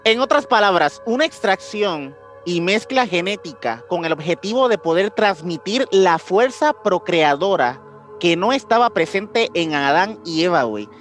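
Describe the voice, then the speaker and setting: A man's voice: high voice, lively intonation, moderate pace, fairly bright tone, faint music behind. A man with a Mexican accent hosting a podcast, telling a story casually in slangy speech and often searching for words. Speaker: podcast host.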